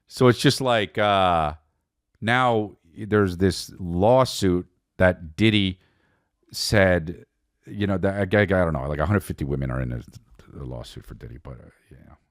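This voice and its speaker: A man's voice: low-pitched, unhurried, no room sound, studio quality. A man hosting a comedy podcast, rambling casually in slang and profanity, scattered and often amused by his own jokes.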